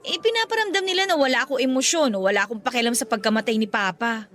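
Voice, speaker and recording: Actress as high-pitched, sulky young daughter. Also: female voice, fast talker, a bit bright, lightly acted. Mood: hurt, annoyed. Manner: defensive, sulky. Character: withdrawn, defensive young daughter, shy of people. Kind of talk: Tagalog radio drama dialogue.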